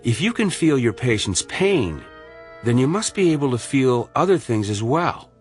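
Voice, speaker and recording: Man acting an animated, earnest, challenging colleague. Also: medium pitch, normal speed, faint music behind, lightly acted. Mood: sceptical, sardonic. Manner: probing, moralising, sardonic. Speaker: actor in a radio drama. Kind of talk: radio drama acting.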